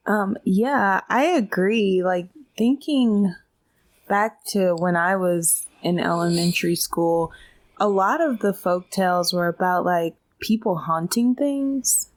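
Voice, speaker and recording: A woman's voice: medium pitch, takes it slowly. A woman co-hosting a podcast, chatting casually and conversationally. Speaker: podcast co-host. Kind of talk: casual chat.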